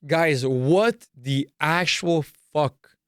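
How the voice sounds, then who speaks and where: A man's voice: a little high, drawn-out words, fairly bright tone, clear, resonant tone, no room sound, studio quality. A man from Canada whose first language is Serbo-Croatian hosts a solo podcast, delivering a profane, repetitive and emphatic rant. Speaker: podcast host.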